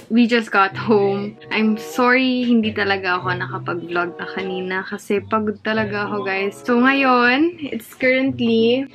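A woman's voice: medium pitch, fairly even intonation, moderate pace, rough recording. A woman in her twenties with a Filipino accent, chatting casually to a camera held at arm's length, switching between languages. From Philippines. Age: 20s. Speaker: vlogger. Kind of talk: casual vlog chat mixing languages. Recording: music under the voice.

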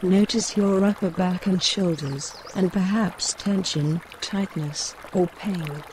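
A woman guiding a mindfulness body scan, giving gentle, measured instructions with pauses between phrases. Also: lowish voice, noisy background. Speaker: meditation guide. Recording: running stream water behind voice. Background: trickling woodland stream.

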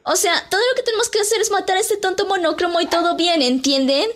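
A woman's voice: extremely high pitch, fast talker, fairly bright tone. A woman voicing a vain, dismissive teenage fashionista who drawls her words in a fan dub. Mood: snooty. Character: vain valley-girl teen fashionista.

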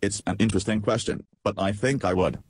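Evasive interview chatbot, played by a man: lowish male voice, childlike bluntness, dry close sound. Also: fairly even intonation, fast talker, darkish tone, slightly rough, no echo. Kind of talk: reenacted text-chat interview.

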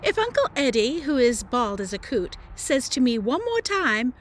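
A woman's voice: high-pitched, very animated, normal speed. An American woman reading aloud as a cheeky, self-absorbed teenage girl diarist, with a wry, melodramatic manner. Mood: exasperated. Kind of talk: expressive first-person audiobook-style reading.

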